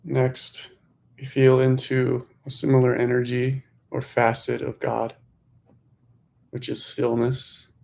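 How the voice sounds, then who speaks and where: A man guides a meditation in a very slow, even voice with a gravelly creak. His phrases are short and gently instructive, set apart by pauses. The pitch stays level throughout.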